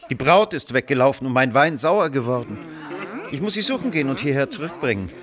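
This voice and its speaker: An actor playing a worldly, cynical gentleman seducer who narrates his own affair in a radio drama, moving theatrically between dry telling and urgent speech. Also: medium pitch, very animated, fairly quick, crowd noise, close to an everyday voice. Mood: boisterous. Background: music.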